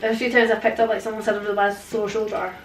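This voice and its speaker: A young woman answers questions in a casual interview, pausing often and filling the gaps with fillers. She sits away from the camera in a living room, so her voice sounds distant and roomy over a faint hiss. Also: medium pitch, level tone. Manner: hesitant, lots of fillers. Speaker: guest, paranormal investigator. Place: living room, indoors, on a sofa. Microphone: far camera.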